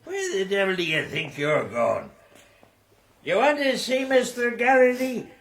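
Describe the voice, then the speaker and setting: Surly doorkeeper thug for radio drama: gravelly creak, high swooping pitch, curt. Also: male voice, very animated, unhurried, fairly bright tone, a little hiss or hum, exaggerated voice. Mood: hostile. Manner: curt, challenging. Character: rude, aggressive male henchman guarding the office. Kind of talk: period radio drama acting.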